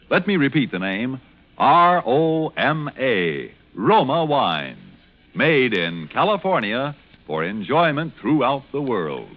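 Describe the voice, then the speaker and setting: An American man announcing a scripted 1940s radio commercial in a polished, emphatic salesman's manner. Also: mid-range voice. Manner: polished, emphatic salesman's delivery, spelling out the brand. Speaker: radio announcer and sponsor pitchman. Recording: dull vintage 1940s radio recording.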